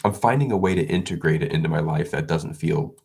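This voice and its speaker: A man's voice: rumbling low, quick pace, vocal fry, husky. A man chatting casually to his viewers, congested and sniffly from an illness, rambling with frequent restarts. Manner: rambling, restarts, soft chuckles. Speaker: video creator. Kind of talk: casual vlog chat.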